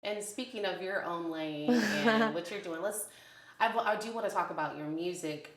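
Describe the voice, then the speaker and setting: A woman hosting an interview, asking questions and backing her guest with steady "mm-hmm"s and "yeah"s. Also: medium pitch, normal speed, a loud crowd. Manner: encouraging, with frequent "mm-hmm" and "yeah". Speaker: interview host. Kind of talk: casual interview. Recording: echoey room.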